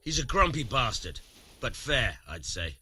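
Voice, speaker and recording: An actor playing a cynical, rough-tongued medieval commoner with a husky voice and colloquial, emphatic delivery in a video game. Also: male voice, mid-range voice, big pitch swings, a little slow, bright, forceful tone, a little hiss or hum, heavily acted. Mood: scornful, blunt. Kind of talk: video game dialogue acting.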